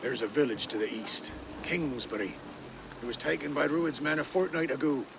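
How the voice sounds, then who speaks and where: An actor playing a bitter, stubborn older reeve of a Saxon village in a game, gruff and gravelly, with archaic formal speech. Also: male voice, medium pitch, normal speed, noisy background, natural voice. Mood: firm, resolute.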